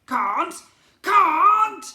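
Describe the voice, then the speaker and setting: A teacher reading aloud and voicing a spoiled, tiny male goblin prince with a shrill, screeching voice. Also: animated, moderate pace, gravelly, sharp tone, heavily acted. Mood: shrieking tantrum. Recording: roomy echo.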